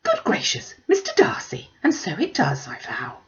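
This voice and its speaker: A woman's voice: on the low side, huge pitch swings, normal speed, lo-fi sound. A woman reading a storybook and voicing a gushing, fretful middle-aged mother in an emphatic, exclamatory way. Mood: indignant.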